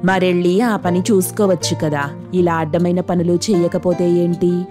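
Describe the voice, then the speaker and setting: A woman's voice: on the low side, fairly even intonation, moderate pace, music in the background, natural voice. A woman playing a greedy, nagging middle-aged mother-in-law who scolds and demands in a cartoon moral story. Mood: suspicious, bossy. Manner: scolding, demanding, sharp-tongued. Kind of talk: dramatic Telugu moral story cartoon dialogue.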